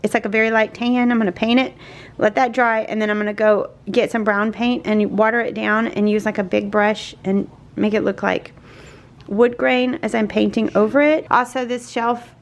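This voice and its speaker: A middle-aged woman chatting casually in a home vlog, hoarse from mild laryngitis and worn out, rambling softly and trailing off. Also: fairly high pitch, level tone, moderate pace. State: tired and worn out. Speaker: home vlogger. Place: small closed pantry indoors.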